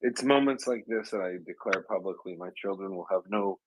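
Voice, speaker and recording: Male host in his thirties, very low and husky, dry close sound, joking manner. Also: a little fast, dry recording, low-quality recording. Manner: joking, teasing. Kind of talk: casual chat.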